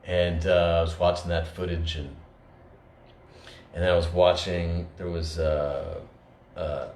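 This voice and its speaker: A man's voice: sits low, little pitch movement, unhurried, darkish tone. A man co-hosting a podcast, talking in an earnest, reflective way with many fillers and restarts. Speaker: podcast co-host. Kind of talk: reflective conversational talk. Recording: echoey room with faint noise.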